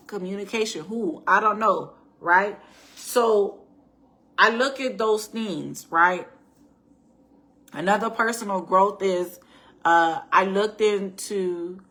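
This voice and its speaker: A middle-aged woman with a slightly raspy voice, chatting casually to her viewers in a personal storytime, tossing in "right?" and restarting her sentences. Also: fairly low pitch, pushed and bright. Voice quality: raspy at times. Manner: chatty, addresses viewers as y'all, frequent restarts and rhetorical "right?". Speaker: faith and life-planning vlogger.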